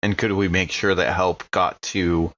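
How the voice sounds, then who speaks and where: Deep-voiced man playing a tabletop game. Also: flat delivery, moderate pace, a bit bright, highs cut off. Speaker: player. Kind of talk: casual tabletop role-play chat.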